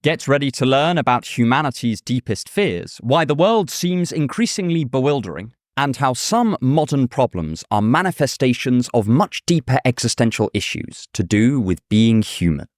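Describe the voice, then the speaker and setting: A man narrating a scripted video essay in a measured, thoughtful way. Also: medium pitch, very animated, no room sound, studio quality. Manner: measured, thoughtful, with a casual aside.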